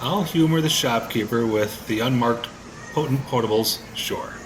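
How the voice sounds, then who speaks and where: A man's voice: mid-range voice, moderate pace, background noise, natural voice. A man with an American accent playing a skeptical, sardonic ordinary husband in an audio drama, hesitant but curious, with wry colloquial delivery. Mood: skeptical, humoring. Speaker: actor in an audio play. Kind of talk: audio drama acting in casual American talk.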